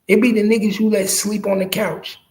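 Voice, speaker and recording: A man's voice: sits high, level tone, a little fast, a little mellow. A man in his early forties giving casual, slangy street commentary to his viewers, emphatic and profane, repeating phrases to drive them home. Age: early 40s. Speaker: podcast host.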